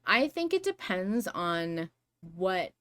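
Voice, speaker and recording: A woman's voice: lowish voice, sing-song, slow pace, fairly bright tone. A woman co-hosting a podcast in casual fan discussion, rambling as she reasons aloud through possibilities. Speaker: podcast co-host.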